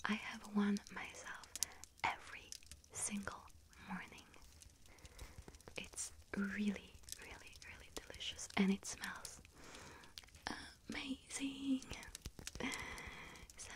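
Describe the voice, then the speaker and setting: A woman voicing a friendly, chatty young witch who works in a bakery, in a gentle breathy ASMR whisper with hesitant pauses. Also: medium pitch, natural voice. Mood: enticing. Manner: gentle, unhurried, hesitant pauses with soft ums. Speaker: ASMR roleplay performer. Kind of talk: whispered ASMR shop roleplay.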